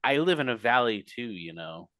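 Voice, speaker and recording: Man, apologetic, self-deprecating, bright tense voice, dry sound, remote call. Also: medium pitch, very animated, moderate pace, no echo. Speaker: podcast co-host. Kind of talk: casual chat. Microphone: remote call mic.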